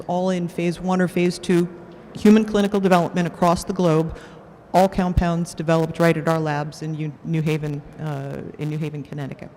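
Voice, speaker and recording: A woman, a biotech executive, describing her company in a measured, formal way on a panel. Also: fairly low pitch, a little mellow. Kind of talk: panel introduction. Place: conference hall. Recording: long hall reverb, faint room noise.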